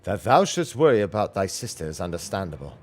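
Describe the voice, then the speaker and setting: A man's voice: low-pitched, very animated, quick pace. A man reading out the lines of earnest, formal fantasy game adventurers in archaic phrasing. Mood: grave, resolute. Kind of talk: reading game dialogue aloud.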